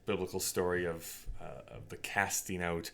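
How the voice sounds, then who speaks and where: A man with a low-pitched voice talks as a podcast co-host. His delivery is casual and conversational, like an informal discussion. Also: steady tone, normal speed, vocal fry, a bit bright.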